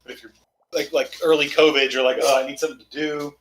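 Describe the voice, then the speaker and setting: A chatty man gaming online with friends, his voice a little hoarse and prone to throat-clearing. He rambles easily at a middling pitch, with faint noise under his home mic. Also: flat delivery, quick pace, a bit bright, poor audio. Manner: rambling, chatty, self-deprecating. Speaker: gamer. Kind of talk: casual chat while playing.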